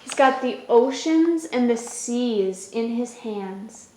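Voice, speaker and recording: A woman's voice: fairly high pitch, expressive, slow pace, darkish tone, a little hiss or hum. A woman hosting a children's story time, reading aloud and explaining in a simple way. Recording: roomy echo with music.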